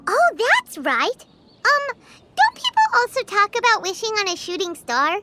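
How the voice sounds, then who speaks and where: A woman voicing a small, excitable, childlike fairy companion in a video game, squeaky and bubbly. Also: measured pace, very noisy, exaggerated voice. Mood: excited scheming. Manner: exclamatory, refers to self in third person.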